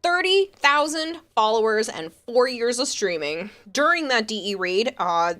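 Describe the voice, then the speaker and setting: A woman's voice: fairly high pitch, animated, a little slow, fairly bright tone. Young boston woman streaming, chatty and reassuring, talking to chat. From Massachusetts. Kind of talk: casual live-stream chat.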